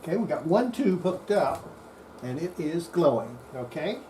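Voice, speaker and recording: An electronics restorer giving an unscripted hands-on repair demonstration, thinking aloud in a halting way. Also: medium pitch, big pitch swings, mellow. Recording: clear background noise.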